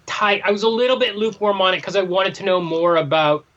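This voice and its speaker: A man's voice: high voice, fast, a bit bright, highs cut off. A man co-hosting a podcast in casual discussion, giving his review. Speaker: podcast co-host reviewing comics.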